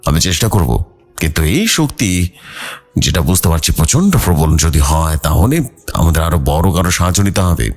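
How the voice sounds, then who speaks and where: A man voicing a grave, respected village priest in a horror story, speaking in a measured, cautious way with sighing hesitations. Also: sits low, animated, a little mellow, faint music behind, pitched below the performer's own voice. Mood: calm, grave.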